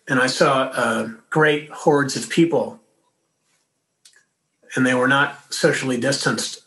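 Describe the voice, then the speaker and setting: A man giving a sermon to his congregation, speaking gently and conversationally. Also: medium pitch. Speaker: minister.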